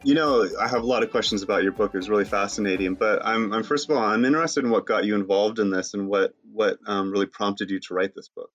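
A male interviewer reading a scripted introduction, then asking brisk, curious questions. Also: lowish voice, fairly bright tone, muffled recording, soft background music.

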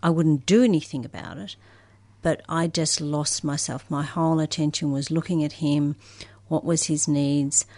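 A woman's voice: deep voice, darkish tone, no room sound. A woman candidly recounting her own life story as a guest in a discussion. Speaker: guest sharing personal story. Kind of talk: personal recollection.

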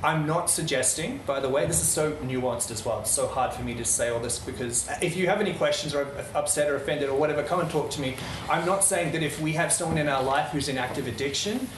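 A man's voice: fairly high pitch, fast, hardly any pauses. Man who grew up in the Netherlands, passionate and rambling, many restarts, picked up from across a noisy room. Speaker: speaker addressing a small group. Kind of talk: earnest unscripted talk. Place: room with a live audience. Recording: roomy, distant mic, noisy.

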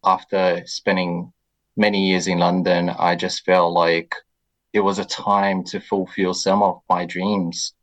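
A man from Brazil with a Brazilian accent, a guest on a radio interview, answering in a reflective, measured way with soft fillers. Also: low-pitched, flat delivery.

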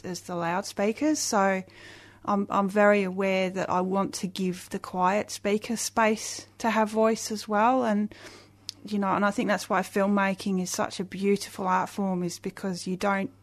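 A woman with a loud voice, a guest on a radio interview, talking eagerly with many restarts and fillers. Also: medium pitch, little pitch movement, a little slow. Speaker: guest, community festival organizer.

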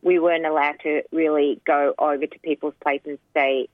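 A woman in her sixties, from Sri Lanka, telling her life story in an interview, soft-spoken and hesitant with many pauses. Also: low voice, level tone, dry recording. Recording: dry, phone-like narrow sound.

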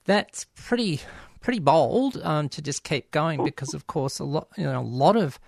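A man's voice: on the high side, expressive, normal speed, vocal fry, no room sound, studio quality. A man hosting a casual interview, chatty and hesitant, with many ums. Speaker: host.

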